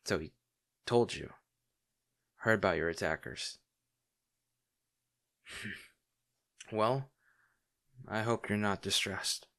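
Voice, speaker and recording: A man acting a low-voiced, remorseful fantasy bodyguard. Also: a bit bright. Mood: restrained, wry. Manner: formal, courtly, halting and earnest. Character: remorseful, devoted male bodyguard to a noble lady. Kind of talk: dramatic roleplay audio acting, intimate fantasy drama.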